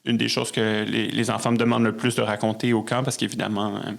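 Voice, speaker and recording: A man's voice: fairly low pitch, little pitch movement, fast talker, vocal fry. A man with a Québécois French accent talking casually as an interview guest, reflective and a little hesitant. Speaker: podcast guest, musician and storyteller.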